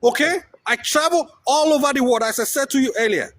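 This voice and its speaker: A man's voice: extremely high pitch, a little fast, fairly bright tone. A man from Africa arguing on a video call from outdoors, lecturing and talking over others. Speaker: debate panelist. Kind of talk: heated online debate.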